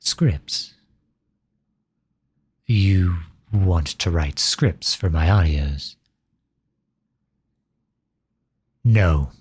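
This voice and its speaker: Male, actor in character, grumpy tsundere for ASMR roleplay: low, husky, unhurried, sarcastic and exasperated. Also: full character voice. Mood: irritated, sarcastic. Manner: sarcastic, flustered, grudging.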